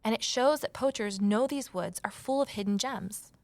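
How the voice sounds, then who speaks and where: Creaky-voiced actress as an earnest young woman sleuth, anxious, confiding, audio drama, dry close recording. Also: a little high, normal speed, darkish tone, dry recording, natural voice. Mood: earnest, intent. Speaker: lead character and first-person narrator. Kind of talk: full-cast audio drama acting and narration.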